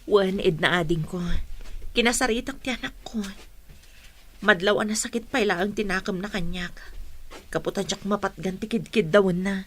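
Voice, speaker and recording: A woman's voice: medium pitch, moderate pace, lightly acted. An actress playing a sorrowful, apologetic village mother in an Ilocano radio drama, speaking with an Ilocano accent. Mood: troubled.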